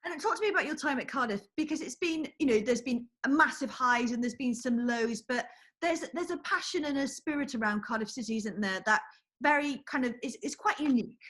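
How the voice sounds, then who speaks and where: A woman's voice: high-pitched, fairly quick, fairly bright tone. A woman sports reporter interviewing a footballer, who asks chatty, leading questions.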